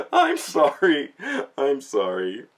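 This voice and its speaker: A man's voice: on the high side, huge pitch swings, extremely slow. A man giving a casual spoken review, retelling a story in informal, slangy language. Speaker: manga reviewer, host. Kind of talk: casual spoken book review with plot recap.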